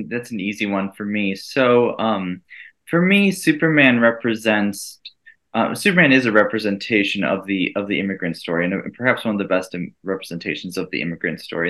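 Bright-voiced earnest man in his thirties. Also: on the low side. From United States. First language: Italian. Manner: earnest, reflective, laughs lightly. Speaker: guest, event co-director and teacher. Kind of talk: casual interview conversation.

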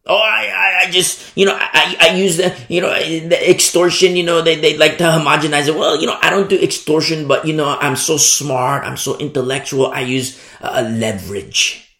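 A man preaching a sermon in an animated, repetitive style, acting out the people he criticizes. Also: on the high side, normal speed. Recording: echoey room sound.

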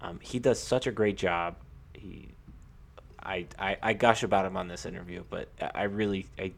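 A man with a fairly low voice chats as a sports podcast co-host. He talks informally and in a halting way, circling back to restart phrases as he goes. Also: fairly even intonation, vocal fry. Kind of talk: casual sports podcast chat.

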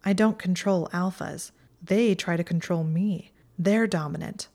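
A woman's voice: on the low side, unhurried, a little mellow. Woman reading a dry, clever young witch.

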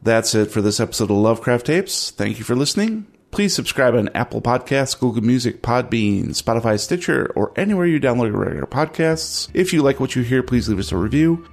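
A man's voice: mid-range voice, creaky. A man hosting a tabletop horror podcast, running through an easygoing sign-off and chatting about the game. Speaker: podcast host and game master. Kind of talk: casual podcast outro and game recap.

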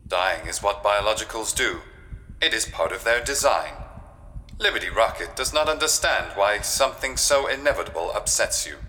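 An actor playing a cold, literal, resentful spaceship computer in a science fiction audio drama, with stilted, formal speech. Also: male voice, lowish voice, expressive, normal speed, sharp tone. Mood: ominous, matter-of-fact, then puzzled, cold. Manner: stilted, formal, refers to himself in third person. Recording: long reverb, faint noise.

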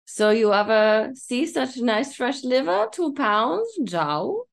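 A talkative old-fashioned shopkeeper in a radio play, voiced by a woman. The pitch swings widely as this good-natured butcher rambles on in folksy broken English. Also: very animated, slow, vocal fry, heavily acted. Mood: puzzled, jovial. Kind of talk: old-time radio drama table read.

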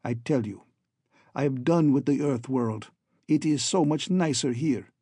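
A man's voice: mid-range voice, measured pace, dark tone, slightly rough, no room sound, clean studio sound. A man voicing a falsely friendly, scheming hunchback villain in a story, oily and ironic, with stilted foreign-sounding phrasing. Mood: ironic good humor.

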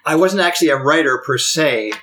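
An older Canadian man being interviewed, rambling and halting with frequent restarts. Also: a little high, lively intonation, sharp tone. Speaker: podcast guest. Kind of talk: casual interview conversation.

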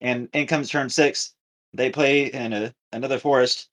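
A man co-hosting a podcast, telling a story casually with halting, repetitive, circling phrasing. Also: mid-range voice, steady tone, a bit bright. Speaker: podcast co-host.

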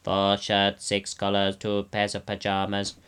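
A man's voice: fairly low pitch, a little slow, smooth. Man doing a thin papery dummy king, droning. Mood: monotonous droning. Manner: monotonous. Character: paper-faced dummy king come alive, droning laundry lists.

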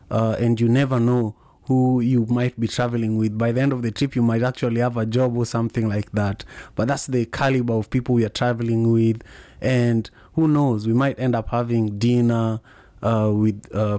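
A man with a mellow, dark voice explains things informally to a group. His delivery is flat and halting, padded with "you know", and the sound is close and dry. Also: medium pitch, dry recording. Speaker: group trip organizer and co-host.

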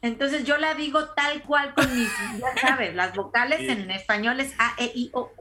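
A Mexican woman co-hosting a podcast, telling lively anecdotes and laughing in casual chat. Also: sits high, moderate pace. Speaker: podcast co-host.

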